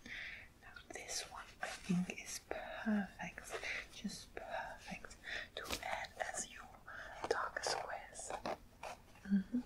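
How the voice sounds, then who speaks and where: Softly whispering woman in ASMR roleplay. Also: medium pitch, steady tone, very slow, long pauses, low-quality recording. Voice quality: soft, breathy whisper. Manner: gentle, murmured, hesitant phrasing addressed to a customer. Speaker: ASMR host playing a quilt shop assistant. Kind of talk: soft-spoken ASMR roleplay.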